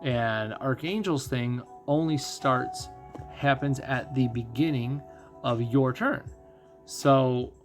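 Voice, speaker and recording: A man giving casual live game commentary as a streamer, musing and thinking out loud as he goes. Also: medium pitch, takes it slowly, soft background music. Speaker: gaming streamer.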